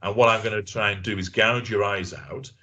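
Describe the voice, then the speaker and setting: A man's voice: on the low side, steady tone, fairly quick, bright, forceful tone, dull audio. A man voicing a crazed, menacing man who lives in a sewer, stating threats matter-of-factly.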